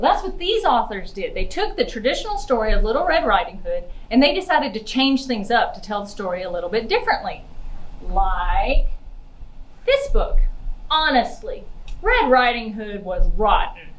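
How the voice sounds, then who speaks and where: Animated woman presenting to children, echoey room. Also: medium pitch. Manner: chatty, simple address to children, posing questions. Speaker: library outreach puppeteer and presenter. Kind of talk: children's library book talk. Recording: roomy, echoey indoor sound.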